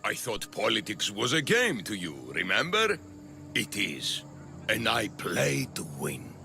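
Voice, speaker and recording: An actor playing a smug, scheming politician villain in an ancient Greek video game, speaking in a theatrical, mocking, deliberate way. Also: male voice, fairly low pitch, wildly swooping pitch, creaky, music in the background, full character voice. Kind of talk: dramatic video game cutscene acting.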